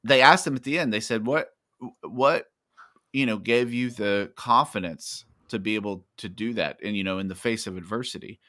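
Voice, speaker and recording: A man's voice: fairly low pitch, moderate pace, bright, forceful tone. A man from Texas co-hosting a casual show, telling stories with an upbeat, easy-laughing manner. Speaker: co-host. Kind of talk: casual chat.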